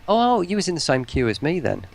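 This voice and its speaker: Male stream host, gravelly fry in the voice, musing and informal delivery. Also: fairly high pitch, very animated, fast talker. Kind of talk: casual chat on a livestream.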